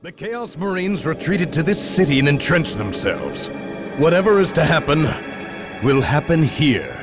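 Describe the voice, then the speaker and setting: An actor playing a grave, watchful soldier in a video game, with dramatic, declamatory delivery. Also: male voice, on the high side, big pitch swings, moderate pace, over music. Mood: grave, intense.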